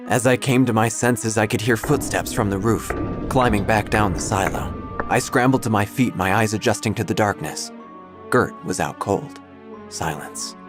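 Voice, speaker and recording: A man playing a wordy, inquisitive obituary writer, narrating a comic mystery in a precise, deadpan, theatrical way. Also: fairly low pitch, moderate pace, music in the background, natural voice. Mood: deadpan, suspenseful. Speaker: narrator and lead character.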